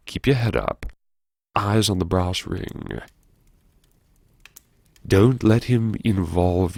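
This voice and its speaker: A man performing a first-person audiobook monologue as a brooding, wry character who anxiously lectures himself in a theatrical, musing way with dramatic pauses. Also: deep voice, creaky, darkish tone, breathy edge, dry recording, clean studio sound, exaggerated voice. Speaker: audiobook narrator.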